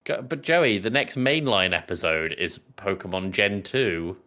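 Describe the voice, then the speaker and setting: Male host with a bright, forceful voice, moderating casual film chat. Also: fairly low pitch, a little slow. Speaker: podcast host.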